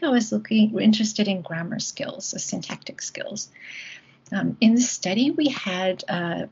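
A woman's voice: mid-range voice, vocal fry, breathy edge. A woman giving an academic lecture over a video call, talking with many ums and restarts. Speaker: guest lecturer. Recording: dull lo-fi video call audio.

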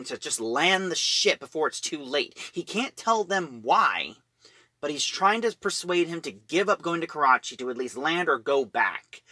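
Bright-voiced man recapping a story. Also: fairly high pitch, no echo. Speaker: reviewer.

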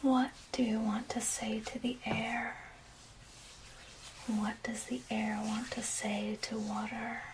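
A woman's voice: a little high, little pitch movement, slow pace. A woman in her thirties whispering breathily in a soothing, instructive ASMR massage role play. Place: small indoor room. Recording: clearly noisy, with rubbing sounds.

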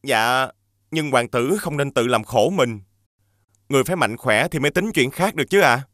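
An actor playing a fussy, loyal prince's servant in an animated fairy tale, deferential and theatrical. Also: male voice, medium pitch, normal speed, no room sound, clean studio sound, lightly acted. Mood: deferential, concerned.

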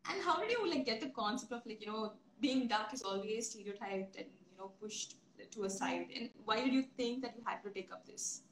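A young woman radio host interviewing a guest over a video call from home, asking excited, halting questions with lots of laughter. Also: fairly high pitch, poor audio. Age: young adult. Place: home room, indoors. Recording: faint background noise on a video call.

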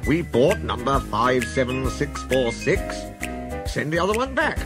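A man's voice: high-pitched, wildly swooping pitch, normal speed, music in the background, pitched above the performer's own voice, exaggerated voice. A man voicing a stern, exasperated railway controller from a children's story, speaking in commanding, clipped questions.

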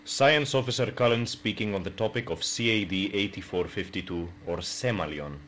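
A man plays a science officer recording a log for an audio drama. His voice is deep, dry and formal, with ambient noise behind. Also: normal speed, a bit bright, lightly acted.

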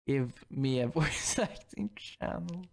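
A man chatting casually and haltingly on a live stream, sounding flustered. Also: on the high side, expressive, gravelly, a little mellow. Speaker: streamer and indie game developer. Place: indoor room at home.

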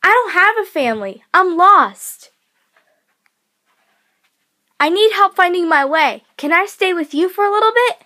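A woman voicing an eager, innocent young boy fox from a cartoon, in an excitable, childlike way. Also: extremely high pitch, a little slow, long pauses, voice pushed up, exaggerated voice. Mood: lost, pleading. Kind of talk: cartoon character audition.

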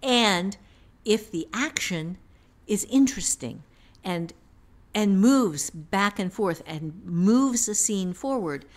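A woman giving unscripted teaching talk on a podcast, thinking aloud in a halting manner. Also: medium pitch, expressive. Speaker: writing teacher, author.

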